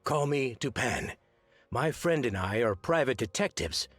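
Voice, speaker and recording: An actor playing an earnest, articulate gentleman private detective in a scripted mystery game. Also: medium pitch, lively intonation, a little slow, dry recording, faint music behind. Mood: earnest, intent. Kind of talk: scripted adventure game dialogue.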